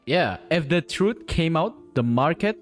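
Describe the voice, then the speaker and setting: A man's voice: fairly high pitch, lively intonation, unhurried, faint music behind. A man live streaming a game with casual reaction commentary.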